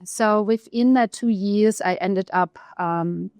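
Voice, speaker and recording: A woman with a German accent, a research economist telling her career story in an interview, pausing often with fillers. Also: medium pitch, darkish tone, no room sound, studio quality. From East Germany. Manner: thoughtful, pauses often with fillers.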